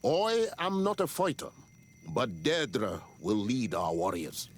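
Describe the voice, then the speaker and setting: Slow, solemn island chieftain. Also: male voice, mid-range voice, wildly swooping pitch. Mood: solemn blessing. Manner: solemn, deliberate, ceremonial. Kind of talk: dramatic video game dialogue.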